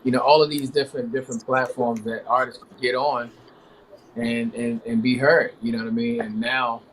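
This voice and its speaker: A man's voice: mid-range voice, normal speed, a little hiss or hum, low-quality recording. Laid-back man singer, rambling talk. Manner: relaxed, rambling with many fillers. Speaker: R&B singer, guest. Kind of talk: casual interview talk.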